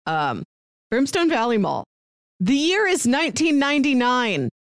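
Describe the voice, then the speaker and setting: A woman co-hosting a podcast, chatting casually as she describes and pitches shows. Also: very animated, unhurried, no echo, studio quality. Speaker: podcast co-host.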